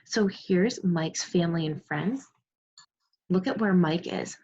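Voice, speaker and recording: Expressive woman teacher reading aloud, muffled audio. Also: on the low side, takes it slowly, breathy edge. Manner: expressive storybook reading, praising and prompting pupils. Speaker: second grade teacher. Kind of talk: read-aloud lesson to young children. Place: remote video class. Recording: muffled, lo-fi online class audio.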